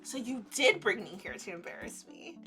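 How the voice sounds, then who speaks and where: A woman's voice: mid-range voice, big pitch swings, moderate pace, bright, forceful tone, breathy edge, faint music behind, pitched below the performer's own voice, full character voice. A woman voicing a serene young male winter spirit in a visual novel, understated and dry with a shy grumble. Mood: grumbling.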